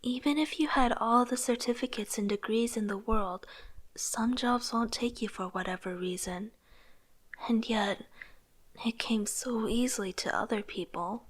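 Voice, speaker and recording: A young woman playing an earnest, struggling artist in a motion comic, narrating introspectively in a soft, breathy voice. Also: a little high, fairly even intonation, a little slow. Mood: wry, determined. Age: young adult. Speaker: narrating lead character. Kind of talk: scripted first-person narration.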